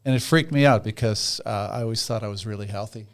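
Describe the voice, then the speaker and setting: Plain-spoken man with a lowish voice. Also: fast talker. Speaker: podcast guest. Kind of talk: casual personal storytelling.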